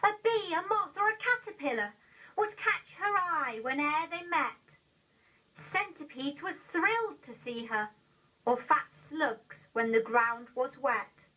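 High, bright young Englishwoman reciting poetry. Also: slow pace. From England. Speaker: poetry reader. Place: indoor room, seated. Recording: distant mic, old video recording.

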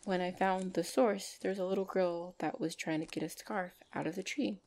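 A woman playing a gentle, shy orc lover in an ASMR roleplay, speaking softly and hesitantly with tender pauses. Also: on the low side, fairly even intonation, natural voice. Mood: wistful, tender.

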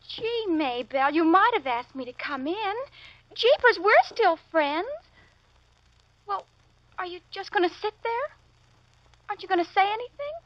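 An eager American teenage girl in a vintage radio drama. Her voice is piercingly high and bright, full of slangy pleading. The recording is muffled. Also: heavily acted. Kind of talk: 1940s radio acting.

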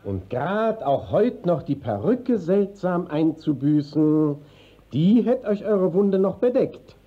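An actor playing a courteous, quietly suspicious senior court inspector, formal and inquisitive, in a radio play. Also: male voice, sits high, very animated, takes it slowly, full character voice. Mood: probing, courteous. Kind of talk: classic German radio play acting. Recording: dull old 1950s radio recording.

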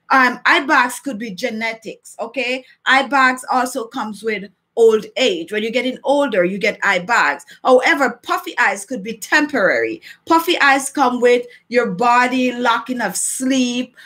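Bright, high-voiced Jamaican woman chatting. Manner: chatty, emphatic repetition. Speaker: beauty vlogger hosting a live chat. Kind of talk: casual livestream chat to viewers. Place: small furnished room at home, indoors.

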